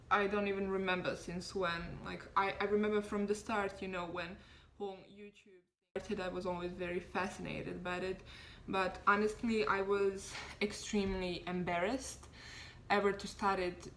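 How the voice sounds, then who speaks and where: A woman's voice: mid-range voice, fairly bright tone, slight background noise. A woman in her twenties from Serbia, talking casually through a makeup tutorial in a chatty, self-deprecating way with lots of fillers. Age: 20s. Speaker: beauty vlogger.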